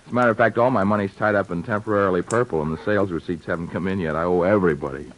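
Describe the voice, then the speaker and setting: A man's voice: low voice, racing, poor audio. An actor playing a breezy, wisecracking young male author in 1940s radio drama style, joking and teasing.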